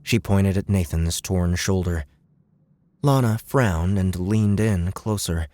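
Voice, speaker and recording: Deep-voiced male audiobook narrator. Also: slow, warm tone, no room sound, clean studio sound. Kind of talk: dramatic scripted narration.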